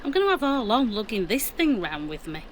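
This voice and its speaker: A woman's voice: fairly high pitch, big pitch swings, fast, a little hiss or hum. A woman playing a plucky, wry, middle-aged British mum in an audio drama, with a colloquial, dry-humoured delivery. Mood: wry, practical. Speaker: actor.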